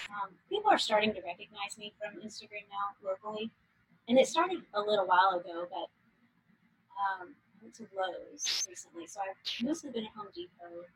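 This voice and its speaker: Chatty woodworker woman, slightly husky. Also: medium pitch, low-quality recording. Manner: animated, self-interrupting storyteller. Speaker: guest woodworker. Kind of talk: casual chat.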